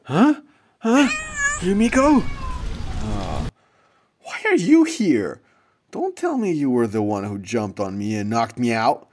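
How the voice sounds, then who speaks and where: A man's voice: medium pitch, very animated, takes it slowly, pauses often, a little mellow, a little hiss or hum. A man voicing a heartbroken, kindly, easily startled young man in a dramatic manga dub. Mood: shocked, desperate.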